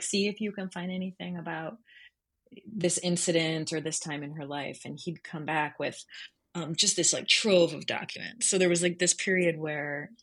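A woman with a low voice and a husky edge speaks as a guest in an interview. She explains her work in a conversational, thoughtful way, often searching for the right word as she goes. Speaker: journalist guest. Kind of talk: conversational interview, explaining their writing process.

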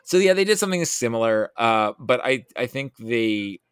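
A man chatting casually as a podcast guest, rambling along with animated, emphatic asides. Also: mid-range voice, moderate pace, clear, resonant tone, dry recording, clean studio sound.

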